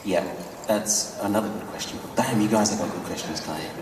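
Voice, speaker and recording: This man's voice sits a little low as he gives relaxed, joking answers on a panel. He is recorded from a distance, so a long echo rings around him in a big hall, with a soft murmur of the room underneath. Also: fairly quick, noisy background. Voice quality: jokey, relaxed, repeats phrases. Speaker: panelist answering audience questions. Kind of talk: casual expert talk at a live panel. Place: large convention hall. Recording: echoey hall audio from far off.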